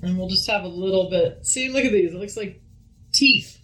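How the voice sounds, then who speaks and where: A woman's voice: mid-range voice, normal speed, fairly bright tone, soft background music. A woman co-hosting a food radio show, talking casually and with wry playfulness as she demonstrates baking in a kitchen, some way from the microphone. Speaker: food radio show co-host, home baker. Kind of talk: casual cooking demonstration chat. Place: indoors in a home kitchen. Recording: roomy kitchen, distant from mic.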